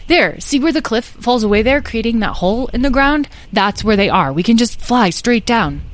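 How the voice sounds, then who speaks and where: A woman's voice: fairly high pitch, lively intonation, normal speed, voice pushed up, close to an everyday voice. A woman voicing an easygoing, friendly boy in a children's story. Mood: helpful.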